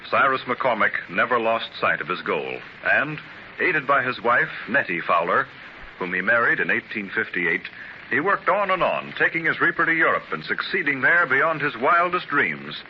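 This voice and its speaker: A man's voice: medium pitch, big pitch swings, normal speed, slight background noise. Stately 1930s radio narrator, man. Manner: declamatory, stately. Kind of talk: scripted dramatic narration. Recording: dull 1930s radio transcription with music. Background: music.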